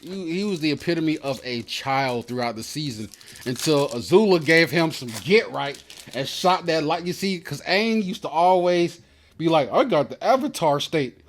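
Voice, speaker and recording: A man's voice: on the high side, moderate pace. A man hosting a card pack opening, rambling casually in a slangy, profane, opinionated way as he tells stories. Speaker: host opening trading card packs. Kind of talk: casual rambling commentary and storytelling.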